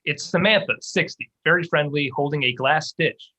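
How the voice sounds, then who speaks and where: A man narrates a script's scene headings and actions. His voice is bright and forceful, sitting slightly high for a man. The delivery is scripted narration. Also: moderate pace, rough recording. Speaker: stage-direction reader.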